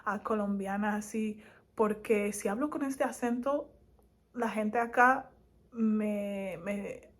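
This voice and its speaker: A young woman from Spain with a Madrid accent, talking casually to camera as a vlogger, animated and quick to laugh. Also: medium pitch. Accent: Madrid Spanish. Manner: animated and laughing, lively asides.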